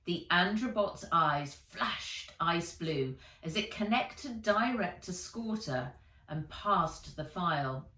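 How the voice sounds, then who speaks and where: A woman's voice: lowish voice, expressive, slow, pushed and bright. A woman narrating a children's adventure story aloud as an author reading from a book. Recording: roomy echo, distant from the mic.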